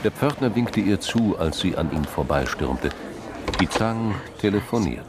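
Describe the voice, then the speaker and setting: An actor reading scripted literary narration as the storyteller of a radio crime drama. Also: male voice, sits low, expressive, unhurried, noisy background. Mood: narrating.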